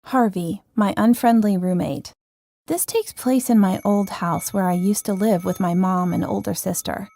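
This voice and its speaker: A woman tells a first-person ghost story from a script. Her voice is of medium pitch and has a noticeable creak to it. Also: moderate pace, dark tone. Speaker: story narrator.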